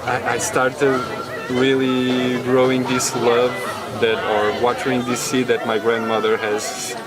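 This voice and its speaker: A man from Portugal, a herbalist stallholder, talking haltingly with many fillers in a casual interview. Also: medium pitch, monotone, rough recording. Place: outdoor market. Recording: loud music and crowd outdoors.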